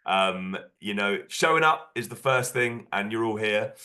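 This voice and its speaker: Croaky man hosting an online workshop. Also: lowish voice, animated, unhurried, sharp tone. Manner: casual, filler-heavy, upbeat. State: hoarse from a cold. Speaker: workshop host.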